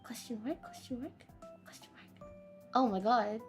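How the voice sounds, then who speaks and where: A woman's voice: on the high side, normal speed, darkish tone, slightly rough, soft background music. A woman hosting a stream, scatterbrained and laughing at herself as she mutters while hunting for viewer questions. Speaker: VTuber host reading viewer questions. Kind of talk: casual stream chat.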